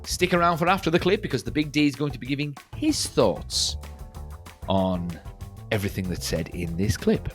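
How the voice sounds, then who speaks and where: A man's voice: medium pitch, big pitch swings, normal speed, soft background music. A man hosting a sports channel, introducing a clip in a showy presenter style. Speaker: channel host. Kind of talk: video introduction to camera.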